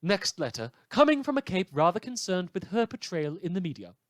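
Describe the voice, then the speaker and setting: A man playing a wry, world-weary British radio newsreader in a scripted fictional broadcast, theatrical and droll with dramatic pauses. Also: high-pitched, sing-song, no echo, clean studio sound. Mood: droll, exasperated. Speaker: podcast performer playing a broadcast host.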